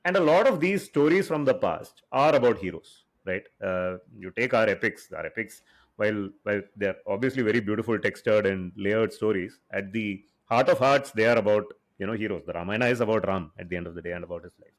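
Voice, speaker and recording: A man co-hosting a podcast, explaining his views in a relaxed, conversational way. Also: fairly low pitch, brisk. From India. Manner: conversational, explanatory, laughs easily. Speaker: podcast co-host. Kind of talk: casual podcast discussion.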